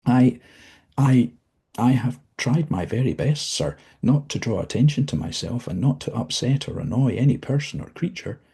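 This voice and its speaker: A man's voice: medium pitch, lively intonation, gravelly, mellow, husky, voice pushed up, full character voice. A man voicing a frightened young female fallen angel who pleads and stammers. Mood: pleading. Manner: begging, halting and breathless.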